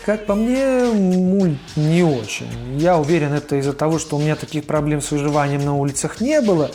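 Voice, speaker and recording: A man's voice: a little high, lively intonation, brisk, dark tone, faint music behind. A man narrating an informal scripted video essay as its host, in a colloquial, opinionated manner. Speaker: host of a cartoon retrospective video.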